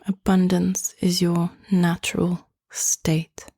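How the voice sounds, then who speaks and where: Woman, meditation guide, half-whispered, gentle, slow, dry close sound. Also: lowish voice, monotone, breathy edge, no echo. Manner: soft, hushed, often half-whispered delivery; gentle, inviting, unhurried phrasing.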